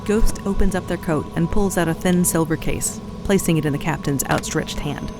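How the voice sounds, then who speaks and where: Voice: mid-range voice, normal speed, music in the background. A narrator reading a scripted audio drama in a descriptive storytelling manner.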